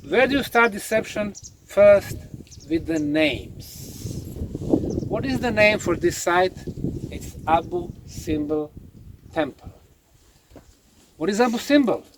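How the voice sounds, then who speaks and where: A man lecturing on location as a documentary presenter, speaking emphatically and deliberately. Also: high voice, animated, noisy background. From Bosnia. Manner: emphatic, deliberate, asks rhetorical questions.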